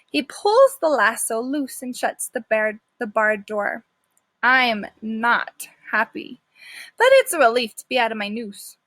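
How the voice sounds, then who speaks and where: A woman's voice: fairly high pitch, fairly bright tone, no echo. A woman teacher reading a children's story aloud expressively, with simple explanatory asides to her young listeners.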